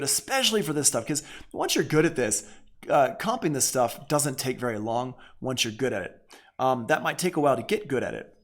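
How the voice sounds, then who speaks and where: A man with a medium-pitched voice teaches audio production in a casual live tutorial. He talks conversationally, explaining as he works and restating his main points for emphasis. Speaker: music production instructor.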